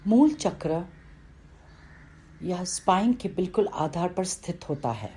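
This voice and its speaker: Slow, mellow woman guiding meditation. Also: on the low side, lively intonation, husky. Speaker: meditation guide. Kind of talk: guided meditation narration, read from a script.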